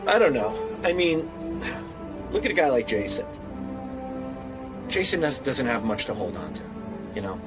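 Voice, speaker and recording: A man's voice: medium pitch, big pitch swings, moderate pace, pauses often. Male, mid-range voice, confident joker in a casual interview, prominent music bed. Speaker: radio show staff member.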